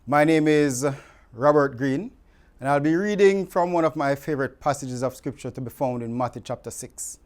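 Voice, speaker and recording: Expressive Jamaican man reading scripture. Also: medium pitch, normal speed. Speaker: scripture reader. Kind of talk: expressive scripture reading in Patwa, addressed to viewers.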